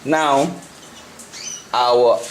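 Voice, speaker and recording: A man giving an unscripted hands-on tutorial, talking haltingly and repeating himself as he works through the steps. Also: mid-range voice, steady tone. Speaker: hobbyist presenter of a CB radio tutorial. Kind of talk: unscripted hands-on how-to demonstration. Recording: noisy amateur home video.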